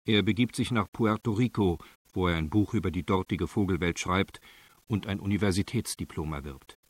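A man's voice: low-pitched, moderate pace, slightly rough. A man narrating a radio crime drama in a sober, factual, matter-of-fact style.

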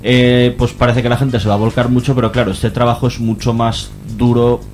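A man's voice: mid-range voice, level tone, brisk, a little mellow, soft background music. A man with an accent from Spain explaining things as an activist guest in a radio interview, in a hesitant, careful way. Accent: Spanish from Spain.

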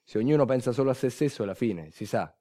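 A man performing a scripted stage monologue, dramatic, moving between hushed and emphatic delivery. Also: medium pitch, animated, fast. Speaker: stage actor, narrator of a monologue. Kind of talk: theatrical scripted storytelling.

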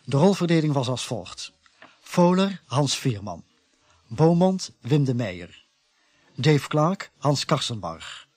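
A formal Dutch radio announcer, a man, reads scripted credits at an unhurried pace. His medium-pitched voice is recorded very dry. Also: big pitch swings, a little mellow, no room sound.

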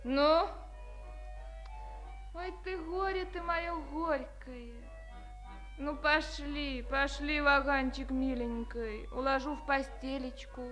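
An actress playing a tender, artless young army nurse with an affectionate manner in 1950s Soviet radio theatre. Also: female voice, high voice, fairly even intonation, takes it slowly, fairly bright tone, soft background music. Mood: tender reluctance. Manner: affectionate, cooing endearments and protests.

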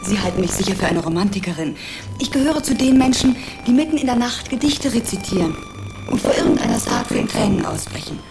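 A woman's voice: mid-range voice, huge pitch swings, quick pace, gravelly, music in the background. An actress playing a bold, dreamy stowaway woman in a radio play, speaking in a poetic, dramatic and coolly teasing way. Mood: rapturous, dramatic. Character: dreamy, bold female stowaway, theatrically romantic and self-possessed. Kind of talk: German radio play acting.

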